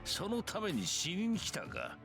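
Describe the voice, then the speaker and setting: An actor playing a sinister, mocking assassin in a skull mask in a Japanese visual novel. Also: male voice, high-pitched, wildly swooping pitch, brisk, gravelly, full character voice. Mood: mocking, gloating. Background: music.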